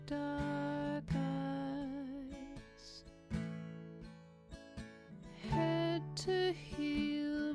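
A woman singing a slow, soft ballad with drawn-out lines. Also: very high-pitched.

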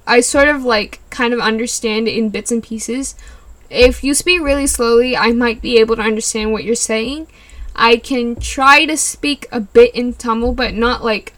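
Bright-voiced giggly young woman. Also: fairly high pitch. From California. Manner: rambling, giggly, lots of likes and you knows. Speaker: podcast host. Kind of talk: casual solo podcast chat.

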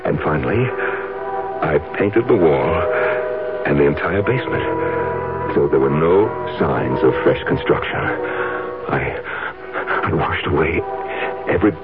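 An actor playing a volatile, scheming, murderous husband in a 1970s radio drama, with a halting, theatrical delivery. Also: male voice, rumbling low, expressive, heavily acted. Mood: grim confession. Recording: muffled old radio recording, loud music behind.

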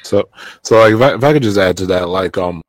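A man with a very deep voice asks a long question in a casual chat, circling around it with hedges and fillers. His sound is dry and close to the mic. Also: fast talker, no echo. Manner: rambling, hedging, many fillers. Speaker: YouTuber asking a question.

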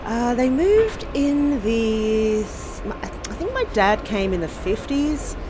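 An Australian woman from Sydney, speaking in a casual, thoughtful interview and pausing often with ums and restarts. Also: fairly high pitch, sing-song, noisy background. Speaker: podcast host being interviewed.

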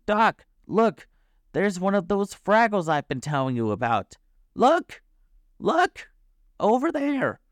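A man voicing an excitable, anxious big furry dog in a storybook, with barking, urgent exclamations. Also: medium pitch, slow, gravelly, no room sound, studio quality, pitched above the performer's own voice, full character voice. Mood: excited, urgent.

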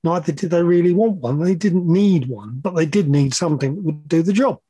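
A man's voice: a little high, a little fast, warm tone, husky, dry recording, dull audio. A middle-aged man explaining technical matters as an interview guest, hesitant at times, with plain-spoken asides. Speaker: podcast guest, loudspeaker measurement engineer.

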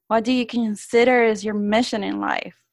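A woman's voice: fairly high pitch, steady tone, moderate pace, gravelly, no echo, clean studio sound. A woman from Puerto Rico with a Spanish accent, asking interview questions earnestly and sometimes searching for words. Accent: Puerto Rican Spanish.

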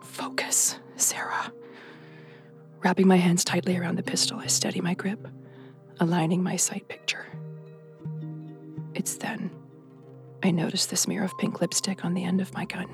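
A woman's voice: on the low side, flat delivery, normal speed, long pauses, whispered. A nervy determined lady cop in an audio drama, music behind her. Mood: hushed, nervous. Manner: breathy, intimate narration, urgent shouted commands. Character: tense, determined female police officer haunted by doubt. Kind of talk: audio drama acting with hushed first-person narration.

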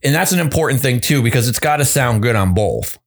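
A podcast co-host and audio editor in a casual chat, rambling with many restarts and a gravelly vocal fry, on a close studio mic. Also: male voice, mid-range voice, lively intonation, fairly quick.

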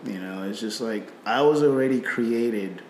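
A man's voice: fairly low pitch, measured pace, darkish tone, roomy sound, a little hiss or hum, poor audio. A middle-aged man from Nigeria with a slight British-tinged Nigerian accent, speaking reflectively about faith, often repeating words and using fillers. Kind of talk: faith conversation.

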